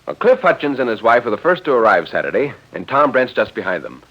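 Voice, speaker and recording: A man narrating a dramatic story in 1940s radio style, with a literary, expressive delivery. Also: medium pitch, fast talker. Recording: dull vintage 1940s radio recording.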